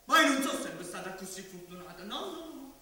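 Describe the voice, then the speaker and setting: Shrill, crafty southern Italian comic servant. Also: male voice, big pitch swings, a little fast, bright, forceful tone, heavily acted. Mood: self-pitying. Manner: exclamatory, emphatic declaiming. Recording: echoey hall, distant mic.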